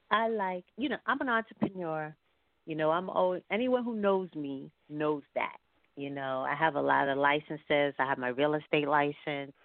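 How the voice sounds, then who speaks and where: A woman's voice: low voice, dry recording. A woman talking casually as an interview guest over a call. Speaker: guest, singer and entrepreneur. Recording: thin phone-like call audio.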